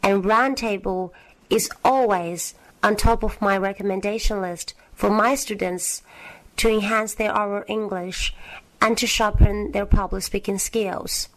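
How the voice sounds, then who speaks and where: A woman's voice: medium pitch, steady tone, a little slow, low-quality recording. A woman from China, a university English teacher, leaving a formal, earnest recorded message for a podcast. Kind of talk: recorded listener message, read aloud.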